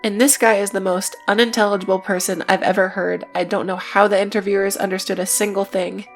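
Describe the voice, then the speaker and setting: A woman's voice: medium pitch, little pitch movement, brisk. A woman narrating a scripted video essay in a matter-of-fact way, with casual, dryly irreverent asides. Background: music.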